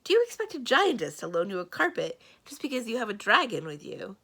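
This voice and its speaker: A woman's voice: a little high, wildly swooping pitch, brisk, fairly bright tone, breathy edge, pitched above the performer's own voice, full character voice. A woman voicing a proud, protective young forest king in a storybook, exasperated and emphatically objecting. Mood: appalled, emphatic. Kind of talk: storybook read-aloud.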